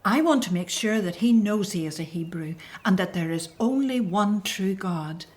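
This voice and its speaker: A woman's voice: medium pitch, expressive, normal speed, natural voice. Tender, faithful ancient Hebrew mum played by a woman, emotional, medium register, Bible audio drama. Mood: sad, resolute. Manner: gentle, emotional, breathy sighs. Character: tender, faithful Hebrew mother, fearful for baby son. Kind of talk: biblical radio drama acting.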